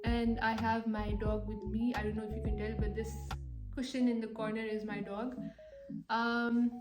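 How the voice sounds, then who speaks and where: A woman's voice: on the high side, little pitch movement, normal speed, echoey room, music in the background. A young woman from Pakistan in her twenties, chatting casually to camera as a vlogger, with lots of "like" and "um". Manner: chatty, many fillers like "like" and "um".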